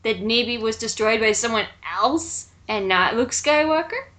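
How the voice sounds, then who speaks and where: A woman's voice: a little high, lively intonation, measured pace, a bit bright. A woman in casual commentary with others, indignant, repeating herself and swearing freely. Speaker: panelist in a group mocking a written bio.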